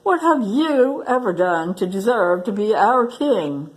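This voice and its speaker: Woman as a scornful, animated storybook vulture. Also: mid-range voice, unhurried, close to an everyday voice, low-quality recording. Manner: accusing, scornful.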